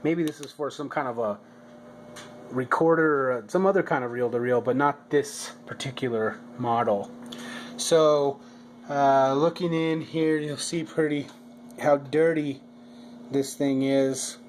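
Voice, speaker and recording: A man's voice: medium pitch, measured pace, a little hiss or hum. A man narrating a hobby project vlog in a casual, hesitant way, often stopping and repeating his words as he goes. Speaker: hobbyist video maker.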